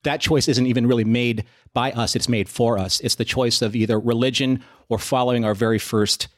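Measured, analytical man. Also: medium pitch, steady tone. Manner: measured, analytical, builds long arguments. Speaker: podcast co-host. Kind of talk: casual discussion.

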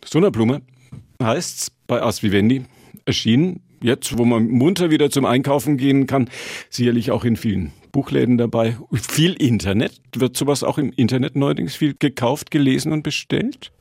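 Male radio host, smooth announcer way of talking, dry studio mic. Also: mid-range voice, moderate pace, a little mellow, no echo. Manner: smooth, announcer-like, brief prompting questions. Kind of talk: radio interview hosting.

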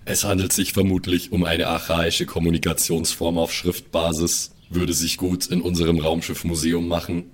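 A man's voice: sits low, completely flat delivery, normal speed, hardly any pauses, slight background noise, lightly acted. A man voicing a cold, logical killer robot with stilted, formal and pedantic speech in an improvised audio play. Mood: detached, urgent.

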